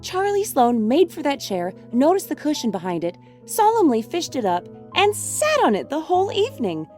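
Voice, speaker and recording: An actress playing a wry, chatty young college student in an audio drama, telling things with mock solemnity. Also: female voice, high voice, moderate pace, faint music behind. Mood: wry exasperation. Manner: mock-solemn, animated storytelling.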